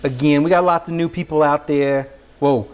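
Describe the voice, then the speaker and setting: A man putting on an American Southern country accent as a goofy, excitable countryman, rambling casually to a livestream chat in a folksy drawl. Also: fairly high pitch, moderate pace, smooth, lightly acted. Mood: folksy, cheerful. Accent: put-on American country, Southern. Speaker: live streamer cooking for chat.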